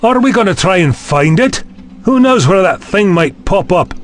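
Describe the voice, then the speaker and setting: A man's voice: high voice, big pitch swings, moderate pace. A man playing a skeptical, impatient university chancellor in an audio drama, speaking bluntly and with exasperation. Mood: skeptical, alarmed.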